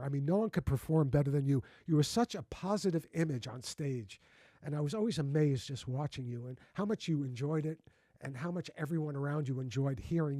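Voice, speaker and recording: A man's voice: on the high side, dark tone, no echo. A man in his sixties hosting an interview, reminiscing effusively and often restarting his sentences. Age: 60s. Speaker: host. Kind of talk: casual interview conversation.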